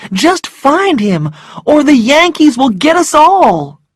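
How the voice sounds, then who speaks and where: A woman's voice: sits high, big pitch swings, slow pace, fairly bright tone, voice pushed up, full character voice. A woman voicing a young, headstrong Southern woman in an audiobook, urgent and emphatic.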